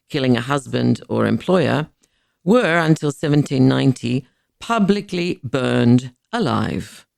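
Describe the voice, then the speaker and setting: A woman reads a scripted historical documentary in a measured, formal, deliberate way. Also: low voice, gravelly, a little mellow, dry recording, clean studio sound. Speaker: podcast narrator.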